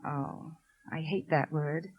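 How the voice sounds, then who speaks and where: An actress playing a devoted widowed stepmother, gentle and wistful, in 1950s radio drama style. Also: low-pitched, steady tone, slow pace. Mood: wistful, concerned. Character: soft-mannered, devoted widowed stepmother with possible secrets. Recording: muffled 1950s radio broadcast recording.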